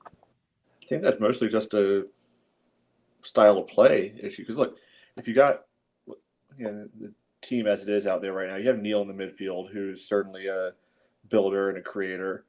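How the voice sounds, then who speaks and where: A man with a fairly low voice talks through sports tactics on a podcast. He explains casually and conversationally. The audio is a little dull and lo-fi. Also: fairly even intonation, moderate pace, pauses often, a little mellow. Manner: explanatory, peppered with "you know". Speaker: soccer podcast panelist.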